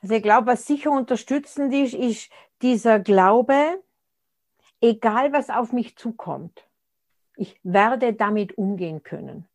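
A woman's voice: a little high, lively intonation, measured pace, a little mellow. Thoughtful woman in her sixties. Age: 60s. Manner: thoughtful, searching for words with frequent restarts. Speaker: interview guest. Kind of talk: reflective conversational interview.

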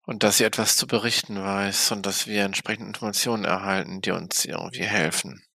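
A man's voice: lowish voice, fairly even intonation, fairly quick, creaky, bright, forceful tone, dry recording, clean studio sound, full character voice. A man playing a combative, skeptical vampire in an audio drama, with terse, formal speech. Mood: cool. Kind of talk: improvised tabletop role-play audio drama.

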